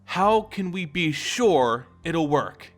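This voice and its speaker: A man voicing a wary, earnest werewolf woman in a fantasy story reading, measured and guarded. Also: on the high side, expressive, a bit bright. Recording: voice over background music.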